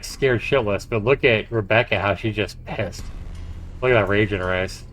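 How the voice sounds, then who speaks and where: A man's voice: lowish voice, a bit bright. A man livestreaming a game, reading its narration aloud dramatically with casual, profane asides. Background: faint game music.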